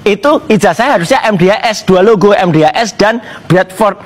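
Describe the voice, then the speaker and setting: A man's voice: high voice, fast. A man insistently claiming something is wrong, dismissive in tone.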